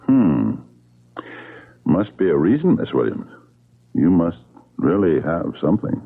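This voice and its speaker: A man's voice: deep voice, sing-song, unhurried, gravelly, husky, full character voice. An actor playing a menacing, suave man who taunts with mock politeness and lords it over others, in old-time radio drama style. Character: menacing, mocking man lording it over his enslaved friend. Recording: muffled, phone-like old radio sound.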